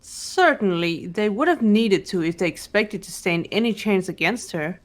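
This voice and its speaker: A mid-pitched female voice from a woman playing a part as a refined young detective in a mystery game. She is measured, cool and matter-of-fact, quietly in command. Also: lively intonation, lightly acted. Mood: cool, pondering. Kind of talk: fan voice-over of visual novel game.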